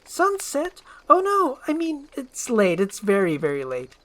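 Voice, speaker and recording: A woman voicing an earnest, anxious young princess who hides an ogre form, in an animated film script. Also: medium pitch, a little slow, pitched above the performer's own voice, rough recording. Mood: flustered.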